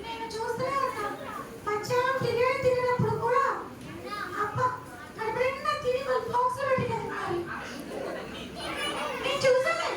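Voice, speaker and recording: Very squeaky, cheeky child puppet in an echoey hall. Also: a little fast, pauses often. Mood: cheeky, chatty. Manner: childlike, chattering, teasing. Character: chatty cheeky child puppet character, calls adults aunty and uncle. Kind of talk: live puppet show dialogue. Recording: echoey hall from far off, noisy crowd.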